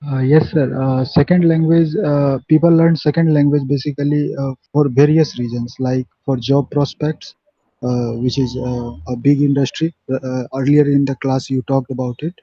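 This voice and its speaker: A man's voice: medium pitch, little pitch movement, normal speed, slightly rough, dry recording, muffled recording. A male student with an Indian English accent, a native Maithili speaker, answering his teacher politely with many hesitations.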